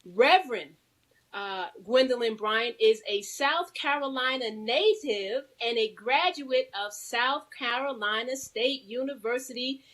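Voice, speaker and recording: A woman's voice: on the high side, a little slow, a bit bright. A woman co-hosting a live talk show, chatting and reading aloud in a playful, exuberant, informal manner. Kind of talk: lively live talk show chat, reading a guest bio.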